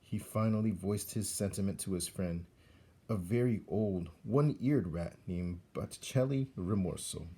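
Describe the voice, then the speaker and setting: A man narrating a storybook aloud as a teacher would, with deliberate, dramatic phrasing. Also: low-pitched, dark tone, breathy edge.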